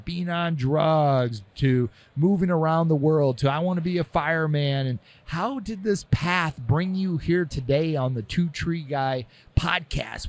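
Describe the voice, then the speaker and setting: A man hosting a podcast, joking and pushy in easy banter. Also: fairly high pitch. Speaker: podcast host. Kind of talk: casual podcast interview.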